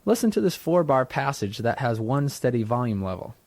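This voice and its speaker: A man reading scripted instructional narration as a software tutor, in a measured, explanatory manner. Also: medium pitch, sing-song. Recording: dry studio narration from a 1990s tutorial cassette.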